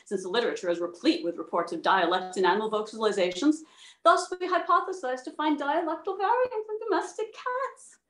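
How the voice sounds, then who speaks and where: A woman with a fairly high voice presents academic material on a video call. The sound is noticeably roomy, with the echo of an indoor space. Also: a bit bright. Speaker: presenter reading a research paper. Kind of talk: dramatic reading of a scientific paper, then answering questions. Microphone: video call microphone.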